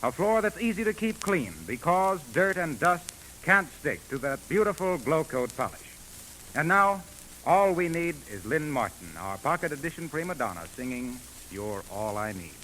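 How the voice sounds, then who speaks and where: Bright, animated 1940s radio announcer, American man. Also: on the high side, normal speed, slight background noise, low-quality recording. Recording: vintage 1940s radio broadcast.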